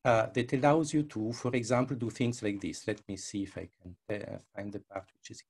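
An older man with a husky, creaky voice presents a software demo over a video call. He speaks English as a second language and often restarts or repeats his words as he explains. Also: fairly low pitch, fairly even intonation, brisk. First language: not English. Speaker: researcher presenting his work. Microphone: headset.

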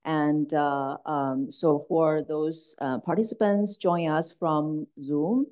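A woman with a low-pitched voice acts as moderator at an academic event. She speaks informally and feels her way through sentences with halting phrasing. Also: dark tone. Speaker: moderator, assistant professor. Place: university lecture room.

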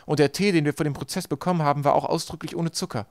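Man doing Klaus, an urgent bookish boy, raised pitch. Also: fairly even intonation, racing, dry recording, studio quality, lightly acted. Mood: pondering, urgent. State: rough, hoarse from poison.

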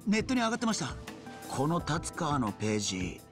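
Hot-headed young yakuza, high-pitched and animated. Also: male voice, fairly quick, over music. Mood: eager, deferential. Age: young adult. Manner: rough slangy junior speech. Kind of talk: acted video game cutscene dialogue.

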